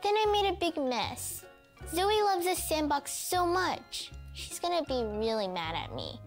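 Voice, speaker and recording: A performer playing a small, earnest, excitable child character who talks to young viewers in an exclamatory way. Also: high voice, unhurried, faint music behind, full character voice. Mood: sheepish. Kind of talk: children's show acting, addressing young viewers.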